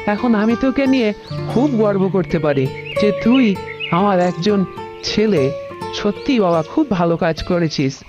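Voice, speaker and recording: A woman's voice: mid-range voice, animated, measured pace, highs cut off, over music, lightly acted. A woman playing an older, bossy housewife and mother in a cartoon story, with an emphatic manner. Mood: proud, moved. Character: older mother of a grown son; nagging landlord's wife.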